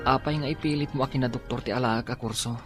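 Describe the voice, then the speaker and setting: An actor playing a sickly, despondent young student and dutiful son in an Ilocano radio drama, speaking in a plaintive, weary way. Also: male voice, medium pitch, fairly even intonation, fast talker, over loud music, close to an everyday voice.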